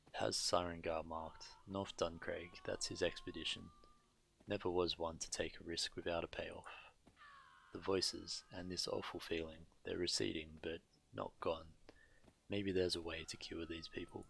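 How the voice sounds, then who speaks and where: An actor playing a grim, weary adventurer in a dark fantasy game, speaking in terse, grave mutters. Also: male voice, deep voice, little pitch movement, normal speed, creaky, a little hiss or hum, low-quality recording. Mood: grim, urgent. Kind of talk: dramatic video game voice acting.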